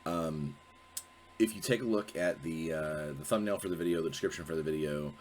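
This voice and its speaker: Deep-voiced man with a stuffy cold. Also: brisk, a little hiss or hum. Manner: rambling, self-correcting, many fillers. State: congested, sniffly from a cold. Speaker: host. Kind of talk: casual solo video talk.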